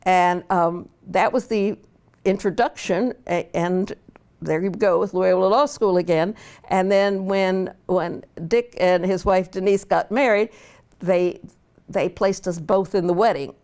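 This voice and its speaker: An older woman, a law professor, recalling her life in an unhurried oral history interview, with frequent fillers and restarts. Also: medium pitch, a little mellow. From Pittsburgh, Pennsylvania.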